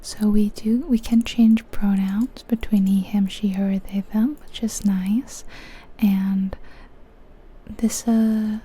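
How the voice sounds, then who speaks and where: A woman whispering breathily and gently close to the mic in an unhurried, chatty ASMR game commentary. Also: medium pitch, flat delivery, breathy edge. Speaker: ASMR video game streamer.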